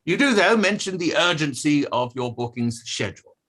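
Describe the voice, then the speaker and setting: A man's voice: fairly high pitch, lively intonation, normal speed, fairly bright tone. A man playing a precise, pressing inquiry barrister, cross-examining formally in a play table read. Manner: probing, formal, hesitant over document references.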